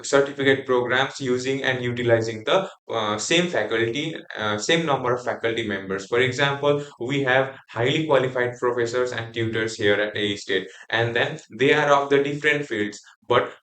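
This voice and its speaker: A man's voice: mid-range voice, normal speed, hardly any pauses, a bit bright. Hesitant Nepali-accented young man presenting. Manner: hesitant, many fillers and restarts. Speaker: student presenter. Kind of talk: class group presentation. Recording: echoey room.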